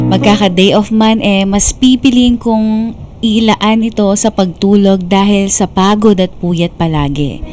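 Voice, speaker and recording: A young woman voiced by an actress with a medium-pitched voice narrates over clear guitar music. She is burned out and confiding, telling her own story in a measured, scripted radio style. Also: close to an everyday voice. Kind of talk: scripted first-person narration.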